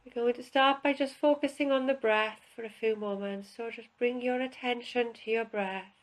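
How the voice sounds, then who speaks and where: A woman instructor guiding a relaxation session in a calm, unhurried, instructional way with pauses. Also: a little high, poor audio. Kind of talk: guided relaxation, spoken instruction.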